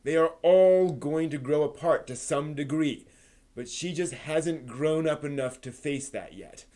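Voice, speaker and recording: A man hosting a live stream, giving a manga review in an articulate, expressive way. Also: a little high, unhurried. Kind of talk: manga review on a live stream, part prepared, part casual chat.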